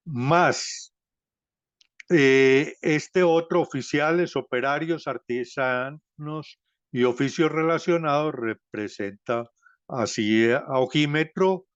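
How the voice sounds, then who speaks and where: A man researcher with a Colombian Spanish accent giving an academic seminar presentation, talking slowly and deliberately with many hesitations and self-corrections. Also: medium pitch, dry recording, lo-fi sound.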